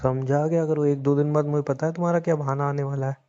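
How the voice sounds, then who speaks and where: Mellow teasing young man on phone. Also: fairly high pitch, level tone, quick pace, clear, resonant tone. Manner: teasing, joking. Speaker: boyfriend on a phone call. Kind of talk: casual phone chat. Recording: dull lo-fi call recording.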